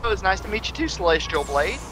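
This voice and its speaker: A man's voice: high-pitched, very animated, fast, bright, forceful tone, soft background music. A man in his late thirties chatting casually on a game stream with dry joking asides. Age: 30s. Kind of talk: casual gaming chat.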